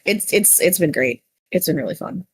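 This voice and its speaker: Flustered, excitable woman, faintly noisy mic. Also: on the low side, normal speed. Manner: flustered, excitable, self-deprecating. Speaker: podcast guest, voice actor and writer. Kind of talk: casual chat.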